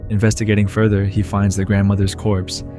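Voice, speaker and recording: A man's voice: lowish voice, completely flat delivery, a little fast, over music. A man reading scripted narration for an explainer video.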